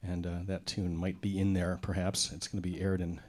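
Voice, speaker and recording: A middle-aged man, a banjo player, chatting with wry, relaxed humor between tunes on a concert stage. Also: deep voice, brisk. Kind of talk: onstage banter between tunes. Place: concert stage before a live audience. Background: banjo music.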